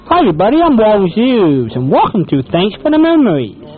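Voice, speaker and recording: A man introduces a show as its host in a high, swooping voice. The sound is narrow and tinny, with faint music behind him. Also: huge pitch swings, brisk. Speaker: podcast host. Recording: thin phone-like sound over faint music.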